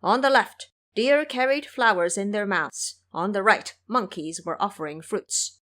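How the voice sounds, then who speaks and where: A woman reading scripted audio drama narration as an expressive storyteller. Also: medium pitch, measured pace.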